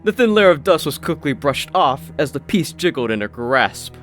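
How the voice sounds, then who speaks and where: A man narrating a scripted fantasy story, expressive, with wry asides. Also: fairly high pitch, moderate pace. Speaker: audiobook narrator. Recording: faint music behind the voice.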